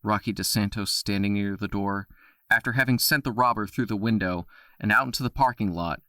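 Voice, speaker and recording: A man narrating an audio drama in a steady storytelling voice. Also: lowish voice, fairly quick, no room sound, clean studio sound.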